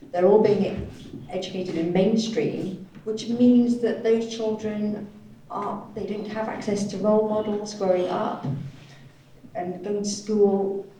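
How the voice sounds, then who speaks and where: Woman, panel interpreter, dark relaxed tone, very roomy distant recording. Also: mid-range voice. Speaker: sign language interpreter voicing deaf panellists. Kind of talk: live interpreted panel discussion. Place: echoey event room, indoors. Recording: very echoey hall, distant mic, faint noise.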